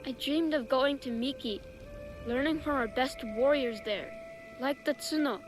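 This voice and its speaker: An actress voicing a frightened, earnest child recruit in a video game, speaking haltingly and anxiously. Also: female voice, high-pitched, music in the background. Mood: nervous, earnest. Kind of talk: video game cutscene acting.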